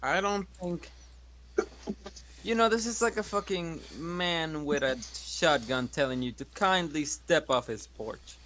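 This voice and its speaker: Bright, blunt man at a game table. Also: on the high side, unhurried, a little hiss or hum. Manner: blunt, sweary. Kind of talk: casual table chat.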